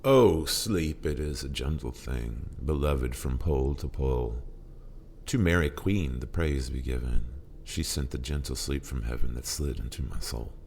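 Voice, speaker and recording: A man's voice: extremely low pitch, a little slow, creaky, a little mellow, a little hiss or hum, pitched below the performer's own voice, heavily acted. A man voicing a haunted old sailor in a solemn, theatrical recitation of narrative verse. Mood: solemn, dreamy. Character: haunted old male sailor recounting ghostly ordeal at sea. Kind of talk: dramatic poetry recitation. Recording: music under the voice. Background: plucked guitar music.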